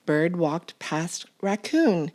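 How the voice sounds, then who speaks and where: Children's storytime reader, female: lowish voice, lively intonation, deliberate picture-book narration. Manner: deliberate, expressive, child-directed.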